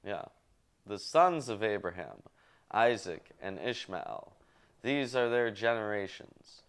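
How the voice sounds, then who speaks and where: A man hosting a show, reading scripture aloud in a deliberate, list-like way with casual asides. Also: fairly low pitch, expressive, creaky, clear, resonant tone. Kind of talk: halting read-aloud of Bible genealogy with casual asides.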